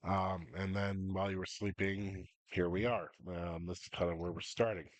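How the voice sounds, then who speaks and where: A man's voice: sits low, flat delivery, moderate pace, no echo. A man with a stuffy nose and a cough, rambling as the game master of a tabletop game, full of ums and uhs.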